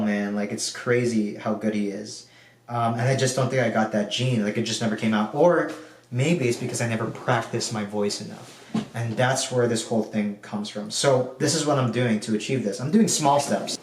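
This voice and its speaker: A young man from the Philippines speaks casually into his camera at home, wandering through his thoughts with plenty of ums and likes. His voice sits at an ordinary middle pitch. The room around him is bare and echoey, so his words bounce back. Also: recorded from a distance. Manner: relaxed, rambling, frequent um and like fillers. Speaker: video diarist. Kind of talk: casual personal vlog.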